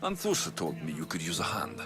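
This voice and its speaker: Deep-voiced, animated mercenary captain. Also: male voice, brisk, music in the background. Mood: assured. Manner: assured, direct. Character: confident heroic male mercenary ship captain. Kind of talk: video game dialogue acting.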